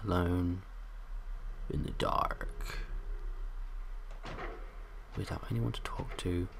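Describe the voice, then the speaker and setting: Bassy man playing a game. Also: steady tone, slow, creaky, slight background noise. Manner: joking, hums and sings to himself, loud bursts. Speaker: let's play host. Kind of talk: casual gameplay commentary.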